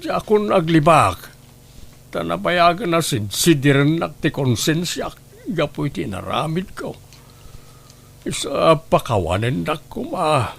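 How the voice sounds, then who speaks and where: Radio drama casting: guilt-ridden older widower, gravelly and slow, halting, pleading confession. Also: male voice, fairly high pitch, sing-song, a bit bright, exaggerated voice. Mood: nervous, apologetic. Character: remorseful older widower confessing to a long-ago kidnapping, pleading. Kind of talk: Ilocano radio drama acting.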